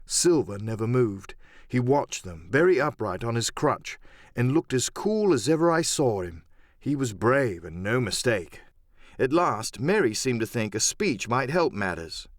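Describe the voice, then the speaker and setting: A man's voice: medium pitch, big pitch swings, a little slow, no room sound, studio quality. A man narrating an adventure novel in a dramatic first-person storytelling style. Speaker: audiobook narrator and voice actor.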